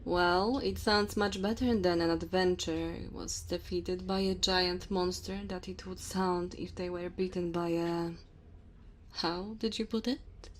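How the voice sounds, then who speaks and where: A woman voicing a dominant, teasing female dragon in an ASMR roleplay, speaking slowly and theatrically to the listener. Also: lowish voice, fairly even intonation, lightly acted. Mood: amused, proud. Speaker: ASMR voice performer. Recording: faint cave ambience noise. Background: cave and fire sound effects.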